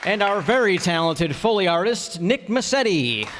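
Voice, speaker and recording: A male announcer delivers radio-show cast credits in a high voice with lively swings of pitch. The hall is reverberant, with an audience audible behind him. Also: moderate pace, faint music behind, a faint crowd.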